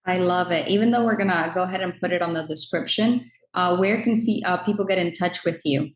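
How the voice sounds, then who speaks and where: A woman's voice: on the low side, fairly quick. A woman hosting a podcast interview, chatty and enthusiastic, with lots of easy fillers. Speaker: podcast host. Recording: echoey room.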